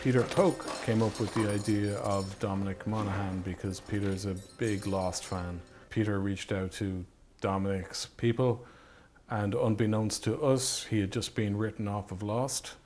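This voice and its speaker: A man reminiscing in a documentary interview as a filmmaker, in a halting, thoughtful way with frequent pauses. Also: lowish voice. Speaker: film director and effects artist being interviewed. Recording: steady background noise.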